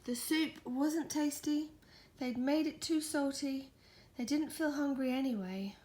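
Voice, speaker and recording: A woman reading a picture book softly in an ASMR storytime style, often slipping into a whisper and cooing to a pet. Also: high-pitched, measured pace. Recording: faint background noise.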